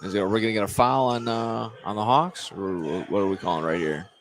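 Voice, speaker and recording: A man's voice: lowish voice, a little fast. An American man doing live play-by-play commentary of a basketball game. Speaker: play-by-play announcer. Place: indoor basketball gym, broadcast commentary. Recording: noisy live gym ambience.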